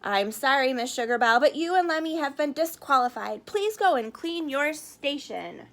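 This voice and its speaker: A woman's voice: high voice, animated, normal speed, fairly bright tone. A woman voicing a nervous, polite male bake-off official in a storybook, hesitant and apologetic.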